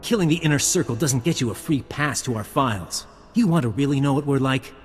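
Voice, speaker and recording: An actor playing a cocky, bitter rival spy in a sci-fi game, with a taunting, intimate, dramatic delivery. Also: male voice, mid-range voice, expressive, fairly quick. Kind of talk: scripted sci-fi game acting. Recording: long reverb, clear music behind.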